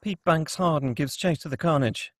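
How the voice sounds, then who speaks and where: Slightly high-voiced man narrating a script. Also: normal speed. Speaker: narrator reading stage directions. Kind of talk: scripted narration for a screenplay table read.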